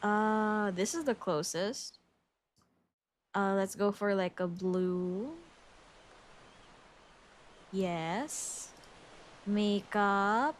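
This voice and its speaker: Slow-talking Filipino woman streamer. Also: medium pitch, slight background noise. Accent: Filipino English. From Philippines. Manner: chatty, thinking aloud, giggly. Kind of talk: casual game livestream chat.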